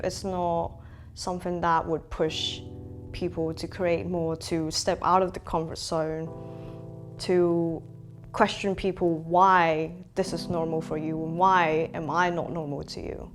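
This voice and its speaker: A young woman from Hong Kong in her twenties speaks in a reflective interview, her voice on the lower side. She pauses with frequent "um"s and slips in light laughs. Music plays clearly behind her in a bright indoor room. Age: 20s. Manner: reflective, frequent "um" fillers, laughs between thoughts. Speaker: interviewee, makeup artist. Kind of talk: sit-down interview.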